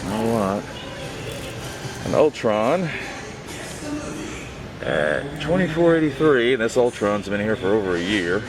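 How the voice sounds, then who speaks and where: A man's voice: fairly low pitch, expressive, slow, long pauses. A man hosting his YouTube show, narrating in a casual vlog style. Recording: loud background noise.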